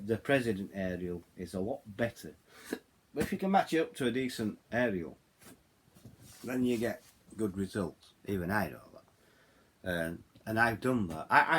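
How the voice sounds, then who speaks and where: A middle-aged or older man with a Yorkshire accent gives a casual, unscripted product review, haltingly, often repeating words and phrases. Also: on the low side, slightly rough. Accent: Yorkshire English. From Yorkshire, England. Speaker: hobbyist video host reviewing radios.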